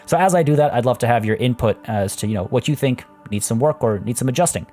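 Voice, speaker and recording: A man hosting a let's play, rambling through his building steps and chatting with viewers, a little hoarse. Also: medium pitch, expressive, fast, mellow, faint music behind. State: losing his voice. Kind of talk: casual gameplay commentary.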